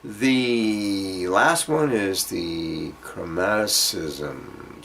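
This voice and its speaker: Low-voiced man teaching guitar. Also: creaky, a little hiss or hum. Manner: halting, thinking aloud while demonstrating on guitar. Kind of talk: informal instructional music lesson. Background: acoustic guitar playing.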